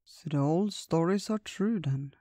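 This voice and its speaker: Man voicing an old bear, kindly, wise, slow and gentle, dry close sound. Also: fairly high pitch, no room sound. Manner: gentle, unhurried, storytelling.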